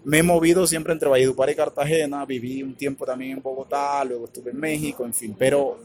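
A man with a Colombian Caribbean accent, answering interview questions in a halting, thoughtful way with many filler words. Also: medium pitch, steady tone, darkish tone, a little hiss or hum. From Colombia's Caribbean region. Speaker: author and academic guest. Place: radio booth at a book fair stand.

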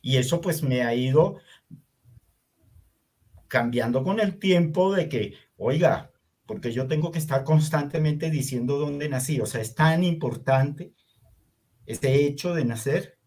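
An older man from Venezuela, whose was Hungarian, telling his story in an interview in a reflective, unhurried way with many fillers. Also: on the high side, darkish tone. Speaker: artist guest. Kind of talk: conversational interview.

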